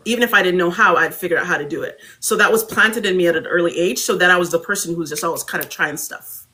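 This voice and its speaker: A young adult woman from Barbados, a guest speaker telling her story in an animated, reflective conversational way. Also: fairly low pitch, fast talker.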